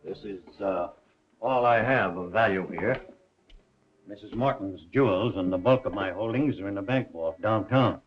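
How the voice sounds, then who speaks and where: A man's voice: on the low side, normal speed, close to an everyday voice. An actor playing a nervous, wealthy male banker in a 1930s crime film, courteous and hesitant under threat. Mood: uneasy. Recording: muffled 1930s film soundtrack, faint music.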